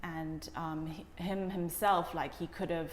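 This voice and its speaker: A woman with a low voice answers interview questions reflectively. Her phrasing is halting and hesitant. The sound is echoey and reverberant, with faint noise behind her. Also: steady tone. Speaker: interview guest, documentary director.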